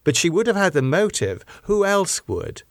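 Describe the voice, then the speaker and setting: A man's voice: sits high, big pitch swings, moderate pace, dry recording, clean studio sound, pitched above the performer's own voice. A man reading an audiobook, voicing a composed woman in authority who is polite, probing and insistent. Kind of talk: audiobook character dialogue.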